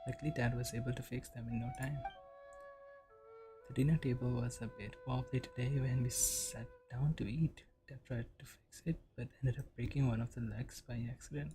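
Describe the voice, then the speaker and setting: A man streaming a game, reading text aloud and reacting casually in a low-key mutter. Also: fairly even intonation, moderate pace, rough recording. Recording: loud game music behind voice. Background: game music and clicking.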